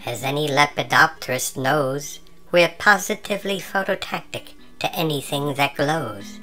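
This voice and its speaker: A man voicing a calm, learned male moth who speaks with precise, knowing composure. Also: a little high, normal speed, soft background music, voice pushed up. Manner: calm, knowing, slightly superior.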